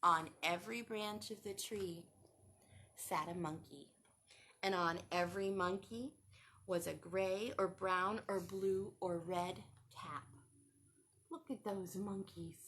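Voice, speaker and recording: Woman, children's storytime reader, bright voice, unhurried pace, expressive, interactive questioning. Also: medium pitch, slight background noise. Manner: expressive, asks the listeners questions. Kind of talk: interactive picture book read-aloud for young children.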